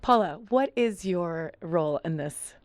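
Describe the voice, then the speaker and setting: A woman's voice: on the low side, lively intonation, unhurried, no room sound. Upbeat woman interviewer, dry sound. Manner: upbeat, encouraging, many short backchannels. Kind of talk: on-set interview.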